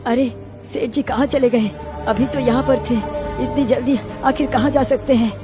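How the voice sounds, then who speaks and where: A woman plays a noble cartoon king, courteous and earnest. He speaks formally and politely, with music clearly behind him. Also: fairly high pitch, steady tone, moderate pace, pitched above the performer's own voice, close to an everyday voice. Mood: earnest, worried. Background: clear background music.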